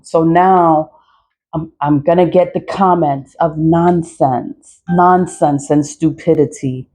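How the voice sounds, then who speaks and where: A woman's voice: lowish voice, a little mellow. 40-something woman ranting on her vlog, cussing, laughing a lot, totally unbothered. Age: 40s. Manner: blunt, profane, laughs often. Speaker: social media creator. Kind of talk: casual vlog rant.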